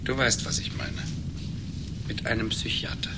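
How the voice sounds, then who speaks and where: An actor in a German radio play playing a sober, sceptical former friend who speaks in a measured, dry, reproachful way. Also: male voice, in a whisper, close to an everyday voice. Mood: sceptical concern. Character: sceptical, scornful old friend, sober captain. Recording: noisy 1980s radio recording with rumble.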